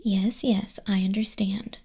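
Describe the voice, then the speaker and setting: A woman playing a smug demon clerk in an ASMR roleplay, soft-spoken and often whispering, with a breathy, husky, condescending and teasing delivery. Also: mid-range voice, fairly even intonation, a little slow. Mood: smug, scolding. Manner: condescending, teasing, dryly bureaucratic. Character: smug female demon clerk registering sinners in hell.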